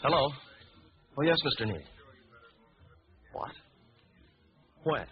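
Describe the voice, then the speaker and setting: A man's voice: mid-range voice, lively intonation, slow pace. An actor playing an earnest, concerned man with an American accent in a 1940s radio drama. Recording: muffled, very noisy old radio.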